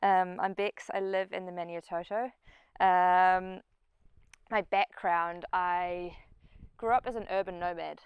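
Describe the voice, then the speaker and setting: A middle-aged woman with a New Zealand accent shares an informal personal talk. Her voice sits a little low and is strikingly smooth and crisp. The sound is dry, with very little room in it. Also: fairly even intonation, a little slow, dry recording, highs cut off. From New Zealand and Papua New Guinea. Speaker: speaker at a community event, farm sustainability coach.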